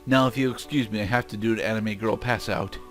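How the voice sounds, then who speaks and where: A man's voice: on the low side, fairly even intonation, quick pace, a bit bright. Man casually commentating over game music. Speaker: let's play commentator.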